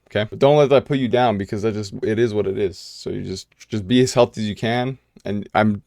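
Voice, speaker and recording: A man's voice: low voice, gravelly, darkish tone, no echo, studio quality. A young American man answering viewer questions in a casual vlog, talking loosely with lots of fillers and restarts. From United States. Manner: casual, filler-heavy with many "you know"s and restarts.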